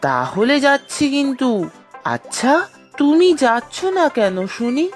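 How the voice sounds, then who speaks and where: A greedy little cartoon boy, voiced by a woman, whines and wheedles cheekily. The delivery drags slowly and swoops up and down, with music underneath. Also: sits high, very animated. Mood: cheeky, impatient. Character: greedy, cheeky young boy obsessed with snacks. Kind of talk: children's cartoon voice acting.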